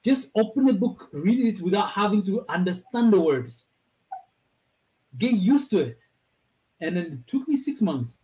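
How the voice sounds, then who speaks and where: A man's voice: high voice, sing-song, a little slow, pauses often, dark tone, rough recording. A man from Ethiopia with an Ethiopian accent, Amharic his first language, telling a story in a loose, rambling way over a video call. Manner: rambling, restarting phrases. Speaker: panel speaker. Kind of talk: casual storytelling in a discussion.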